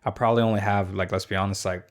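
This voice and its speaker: A man's voice: on the low side, fairly even intonation, very fast. A young man hosting a casual podcast, rambling with lots of fillers and restarts. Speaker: podcast host.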